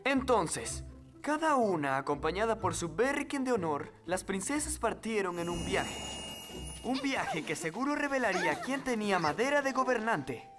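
A woman narrating a fairy tale in a children's cartoon, an expressive storyteller with music underneath. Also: mid-range voice, normal speed, close to an everyday voice.

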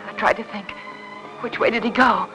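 A woman's voice: fairly high pitch, steady tone, moderate pace, lightly acted. Woman acting an anxious housewife in an old melodrama. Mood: haunted narration. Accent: American. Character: frightened, anxious young housewife hiding a secret. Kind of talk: 1950s melodrama film acting and narration. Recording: old film soundtrack with music.

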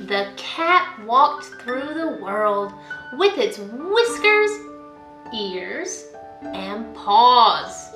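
Young woman, children's read-aloud, expressive sing-song, bright tone, roomy indoor recording. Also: fairly high pitch, drawn-out words, faint music behind. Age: young adult. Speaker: school librarian reading aloud to children. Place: indoor room at home, by a window.

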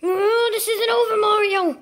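A woman's voice: very high voice, steady tone, normal speed. A woman playing a scornful, easily offended big cartoon gorilla in an improvised toy play skit. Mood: furious.

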